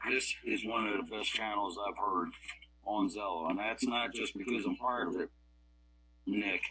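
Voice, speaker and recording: A man's voice: lowish voice, normal speed, sharp tone, husky, low-quality recording. A man calling in to a radio club net, chatting casually in a plain-spoken, folksy way. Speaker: club member calling in on a walkie-talkie app.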